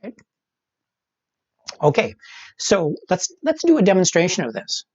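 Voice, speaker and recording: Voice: medium pitch, huge pitch swings, measured pace, darkish tone, slightly rough, no echo. Methodical instructor, slightly dull dry audio. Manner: step-by-step, often checking with "right?" and "all right". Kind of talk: live online tech training, explaining steps.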